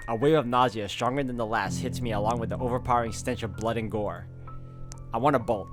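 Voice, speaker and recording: A man's voice: medium pitch, fairly quick. A man reading a visual novel aloud as a playthrough, dropping in wry casual asides. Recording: music behind the voice.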